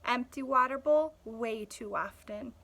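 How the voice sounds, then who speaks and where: Streetwise male stray dog played by a woman, storybook narrator, blunt and sardonic, bright, high pitch. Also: a little slow. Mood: wry, animated. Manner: sardonic, punchy, conversational. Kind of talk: storybook first-person narration.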